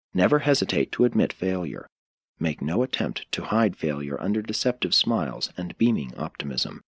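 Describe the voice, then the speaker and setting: A man reading a philosophical religious audiobook in a measured, solemn, formal way. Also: low-pitched, breathy edge, no room sound. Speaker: audiobook narrator. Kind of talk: scripted audiobook narration of a philosophical religious text.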